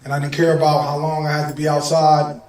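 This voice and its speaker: A hoarse man from Detroit, a singer, talking loosely and directly to a gathered audience in slangy, conversational speech. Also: fairly high pitch, monotone, fast, a little mellow. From Detroit, USA. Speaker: singer and guest speaker. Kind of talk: casual heartfelt talk to an audience. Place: gathering in Malibu. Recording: echoey room, distant mic, music behind.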